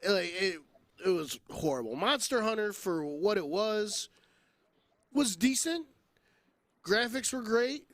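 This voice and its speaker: A man's voice: high-pitched, animated, measured pace, pauses often, a bit bright, no room sound. A man co-hosting a podcast in casual, opinionated banter, blunt and given to exaggerated comparisons and swearing. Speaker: podcast co-host.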